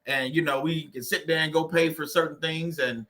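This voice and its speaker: A man with a Louisiana drawl hosts a relaxed livestream, loose and teasing as he greets viewers one by one. His voice is bright and forward, sitting a little higher than most men's. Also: moderate pace. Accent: Louisiana Southern US. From Louisiana, USA. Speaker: livestream host. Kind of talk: casual livestream chat with viewers.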